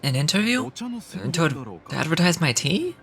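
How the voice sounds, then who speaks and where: A woman's voice: low-pitched, big pitch swings, unhurried, over music, pitched below the performer's own voice, exaggerated voice. A woman voicing an earnest middle-aged father, stern but caring, in a fantasy game. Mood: formal, hesitant.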